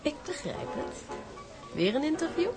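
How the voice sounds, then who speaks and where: Scheming female reporter: flirtatious, mocking, animated intonation, radio drama over music. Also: high-pitched, moderate pace. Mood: sly, curious. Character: flirtatious, sly female journalist, elegant and scheming.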